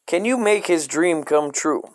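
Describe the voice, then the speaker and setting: A man reading a comedic parody children's book aloud in a deadpan, scripted storyteller style. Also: on the high side, unhurried.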